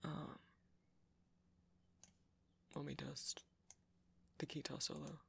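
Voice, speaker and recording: A man playing a nerdy, easily flustered vampire who rambles hesitantly and sweetly in a soft-spoken ASMR roleplay. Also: fairly high pitch, monotone, takes it slowly, gravelly, husky, full character voice. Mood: absorbed, musing. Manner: hesitant, rambling, self-deprecating, breaks into soft laughs.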